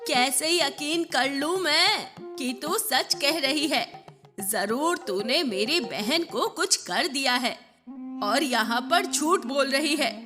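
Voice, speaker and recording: A woman's voice: high voice, lively intonation, slow pace, over music. Woman acting a fretful, suspicious old village woman. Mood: worried, suspicious. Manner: distrustful, fretful. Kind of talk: cartoon story acting.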